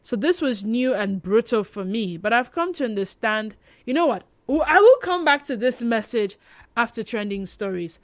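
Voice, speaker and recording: High-voiced Nigerian woman radio host. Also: lively intonation, normal speed, dry recording. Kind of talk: live radio talk, reading listener messages aloud. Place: radio studio.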